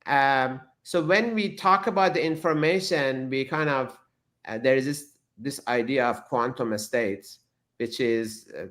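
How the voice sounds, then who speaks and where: A man whose first language is Persian, a physicist guest explaining ideas in an interview, thoughtful and halting with many restarts. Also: mid-range voice, fairly bright tone.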